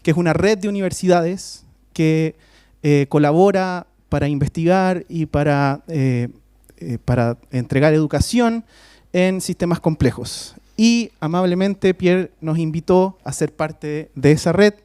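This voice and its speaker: A man's voice: on the high side, measured pace, dark tone, clear, resonant tone, dry recording, clean studio sound. Hesitant man introducing a guest. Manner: hesitant, many filler sounds, switches between Spanish and English. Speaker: faculty host introducing a guest speaker. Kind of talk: formal talk introduction. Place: university lecture hall.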